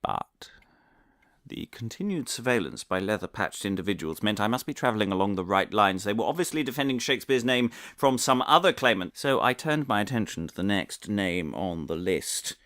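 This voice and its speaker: A man's voice: on the low side, lively intonation, normal speed, fairly bright tone, dry recording, studio quality. A man playing a deluded, paranoid professor in a comic audio drama monologue, earnest and pompous, with a theatrical, stammering delivery. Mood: pompous, scholarly. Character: deluded paranoid male professor, eccentric conspiracy theorist.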